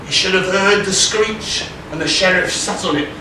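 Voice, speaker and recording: In a noisy, very echoey hall, a man plays a ragged old pantomime chambermaid turned prisoner. The voice is fairly high, telling tales in a rambling, comic way from a distance. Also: moderate pace, lightly acted. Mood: rambling, confiding. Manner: rambling, comic storytelling with hesitations. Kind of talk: stage pantomime comedy acting. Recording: very echoey hall, distant mic, clear noise.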